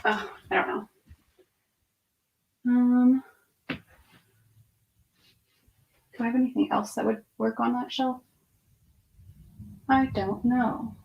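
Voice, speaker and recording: A woman's voice: on the high side, steady tone, slow pace, vocal fry, pauses often, dull audio, a little hiss or hum. A woman in her twenties chatting casually on a livestream, rambling and half-distracted as she thinks aloud and answers viewers' questions. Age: 20s. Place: room at home with bookshelves.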